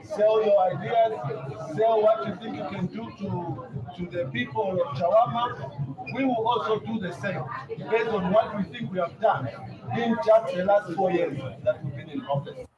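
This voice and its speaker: A Zambian man delivers political remarks in a high voice. The recording is distant and muffled. A loud crowd fills the space behind him. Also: moderate pace. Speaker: political party official. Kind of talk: political remarks to press and supporters.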